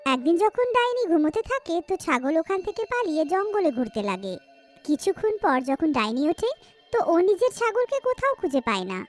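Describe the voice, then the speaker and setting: A woman narrates a horror cartoon tale in a scripted storytelling style. Her voice is high and very animated, with music playing behind it. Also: fairly quick.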